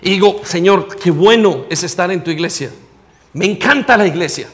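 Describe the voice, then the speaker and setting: A Colombian man preaching as pastor, asking the congregation direct questions and rising to near shouts. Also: sits high, expressive, moderate pace. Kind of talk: sermon. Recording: long hall reverb.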